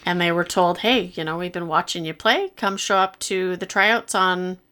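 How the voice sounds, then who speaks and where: A woman's voice: lowish voice, fairly even intonation, normal speed, sharp tone, clean tone. A middle-aged woman from Canada, a podcast guest telling her life story in an earnest, reflective, self-deprecating way. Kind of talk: casual interview chat.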